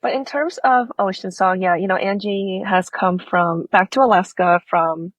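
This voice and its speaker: A woman's voice: mid-range voice, moderate pace, no echo. A woman author who grew up in Singapore, with a faint accent, chatting animatedly as an interview guest and acting out her own reactions. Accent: Singaporean-tinged American. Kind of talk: conversational interview.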